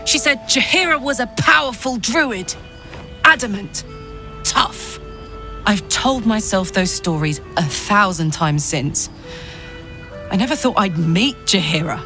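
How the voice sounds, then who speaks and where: Casting: actress for starstruck young woman warrior, fantasy game, unhurried but animated delivery, music underneath. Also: medium pitch. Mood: nostalgic, awed. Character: excitable, starstruck young tough woman warrior from the outer city. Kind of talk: voiced fantasy game drama, narration and dialogue. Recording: music behind the voice.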